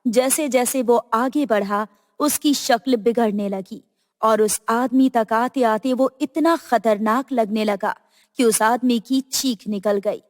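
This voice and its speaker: A woman's voice: fairly high pitch, no echo, clean studio sound, big-room sound. A woman narrating a scripted horror story as the storyteller.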